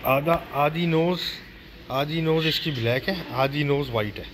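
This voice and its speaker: A man's voice: a little high, measured pace, background noise. A man with a Pakistani accent casually narrating a farm tour as a vlogger, explaining things simply with some repetition.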